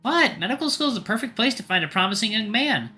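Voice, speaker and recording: A man's voice: high-pitched, expressive, fast talker, bright, forceful tone, soft background music, pitched above the performer's own voice, exaggerated voice. A man voicing a chatty middle-aged mother in an audio story, nosy and coaxing, eager to play matchmaker. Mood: eager, insistent.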